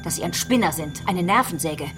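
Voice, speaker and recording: A relentless female detective played by an actress with a fairly low voice. She questions insistently, needling and taunting, in the manner of a radio crime drama. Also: lively intonation, a little fast, over music, close to an everyday voice. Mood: taunting, probing.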